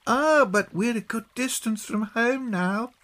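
A man's voice: very high voice, unhurried, fairly bright tone, pitched above the performer's own voice. A man voicing a bossy, resourceful young girl who takes the lead in a children's storybook, sounding assured and instructive. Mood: eager.

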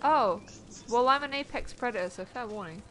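A woman's voice: fairly high pitch, lively intonation, fairly quick. A woman chatting casually with friends while gaming, with playful, sweary banter. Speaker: gamer playing with friends. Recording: loud game music over voice. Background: loud video game music and effects.

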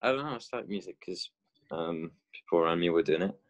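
Low-voiced young man on a video call. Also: expressive, dull audio. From France. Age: young adult. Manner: halting, many fillers and restarts, laughs easily. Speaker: guest musician. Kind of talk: casual video call interview. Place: inside a car.

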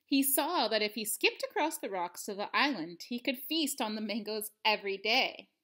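A woman's voice: fairly high pitch, lively intonation, moderate pace, sharp tone. A woman in her thirties reading a picture book aloud expressively for children, at home. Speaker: storybook reader. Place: living room at home.

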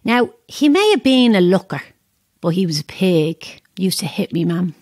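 A woman's voice: fairly low pitch, sing-song, normal speed, darkish tone, no echo, clean studio sound. An actress with a Dublin accent playing a down-to-earth, gossipy woman in an audio drama, frank and wry as she chats. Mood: frank, confiding. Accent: Irish, Dublin. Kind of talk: audio drama, casual banter and storytelling.

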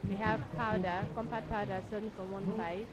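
A woman's voice: mid-range voice, fairly even intonation, normal speed, muffled recording. A young woman with a Nigerian accent giving interview answers as a beauty brand representative. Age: young adult. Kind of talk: interview answers, product pitch. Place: outdoor market fair. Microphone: handheld interview microphone. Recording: loud outdoor crowd and traffic.

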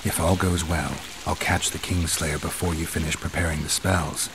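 A man playing a stoic, brooding monster hunter in a video game, gravelly, terse and deadpan. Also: low voice, normal speed, background noise, lightly acted. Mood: resolute, guarded.

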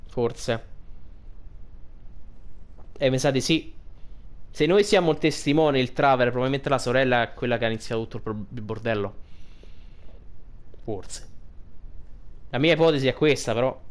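A man casually commenting on a game playthrough, informal and halting as he reads aloud and thinks out loud. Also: mid-range voice. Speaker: video game streamer. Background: game music.

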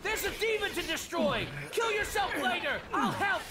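A man voicing a frantic, loudmouthed demon bird in a video game, with a shrill squawking rasp and brash shouting. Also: sing-song, normal speed, distant mic, a crowd in the background, background noise, heavily acted. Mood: frantic, amazed. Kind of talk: video game cutscene acting.

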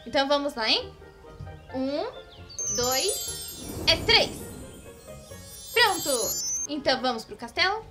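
A woman as a high, breezy fairy-tale princess. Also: very animated, slow, long pauses, music in the background, exaggerated voice. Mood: airy, apologetic. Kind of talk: cartoon fairy-tale parody acting.

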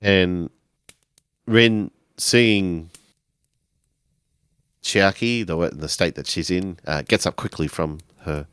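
A man narrating his character's actions in a tabletop role-playing game, halting as he searches for words. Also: sits low, a bit bright, clean tone, no room sound, clean studio sound.

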